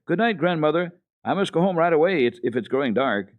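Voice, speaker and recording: Man voicing an earnest little girl, pitched up. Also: animated, fairly quick, no room sound, narrow, tinny audio, lightly acted. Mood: bright, eager. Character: earnest, distressed little girl, eager and kind.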